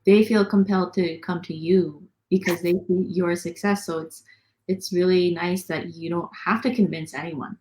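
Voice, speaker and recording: A young woman chats as the guest in a casual online interview. She is upbeat and talkative, with frequent fillers and a somewhat low voice. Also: steady tone, moderate pace, a little mellow, a little hiss or hum, poor audio. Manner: upbeat, chatty, many fillers. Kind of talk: casual video call interview.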